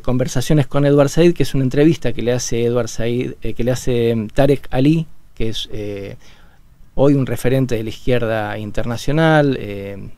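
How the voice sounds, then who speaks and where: A man from Buenos Aires with a Rioplatense Argentine accent, a guest on a radio interview, explaining things in a measured way with frequent pauses and filler sounds, recorded in a radio studio. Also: medium pitch, warm tone, no echo. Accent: Argentine Rioplatense Spanish.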